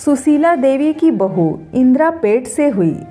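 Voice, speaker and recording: A woman reading a scripted story in an expressive storytelling manner. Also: sits high, unhurried. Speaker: story narrator. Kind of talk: scripted Hindi story narration. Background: background music.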